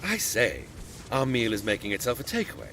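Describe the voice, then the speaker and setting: Pompous swooping British gentleman cannibal explorer. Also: on the low side, huge pitch swings, a little fast, a little hiss or hum, exaggerated voice. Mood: falsely courteous. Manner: pompous, mock-genteel, old-fashioned phrasing. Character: pompous old-fashioned explorer turned sinister genteel cannibal. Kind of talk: theatrical audio drama acting.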